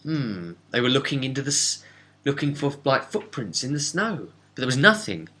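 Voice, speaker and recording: A man speaking as a game master, giving a casual, rambling recap of a role-playing session with dramatic pauses and asides. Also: medium pitch, sing-song, sharp tone. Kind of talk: casual spoken recap and storytelling.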